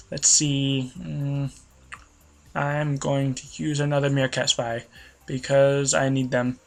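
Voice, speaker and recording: A man's voice: medium pitch, a bit bright. A young man narrating his video game as a let's play host, rambling and losing his train of thought, with a slightly stuffy nose. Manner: rambling, loses train of thought, self-deprecating. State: stuffy nose, voice a bit worn. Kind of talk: rambling gameplay commentary.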